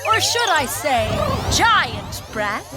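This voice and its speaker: A mischievous cartoon troublemaker, extremely high and very slow. The taunts swoop dramatically over loud music. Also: very animated, exaggerated voice. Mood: taunting. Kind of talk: animated cartoon acting.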